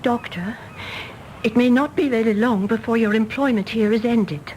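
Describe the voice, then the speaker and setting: Woman acting a high-voiced, solemn governess. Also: moderate pace, a little mellow, a little hiss or hum, lightly acted. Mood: nervous, pleading. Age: 30s. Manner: solemn, earnest, intense. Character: solemn, lonely thirty-five-year-old governess, earnest and pleading. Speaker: actor. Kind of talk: British radio drama acting.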